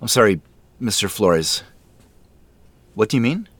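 Low-voiced, reserved, polite man, dry sound. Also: animated, unhurried, husky, no echo. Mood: awkward, apologetic. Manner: hesitant, deferential. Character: reserved, polite grown man visiting old family friends. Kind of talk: naturalistic audio drama dialogue.

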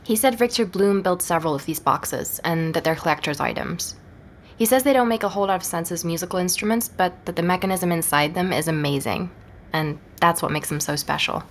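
An actress playing a curious, self-assured investigator in a naturalistic audio drama. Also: female voice, fairly low pitch, a little fast, slight background noise, lightly acted. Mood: thoughtful, casual. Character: curious, self-assured female investigator reporting her findings.

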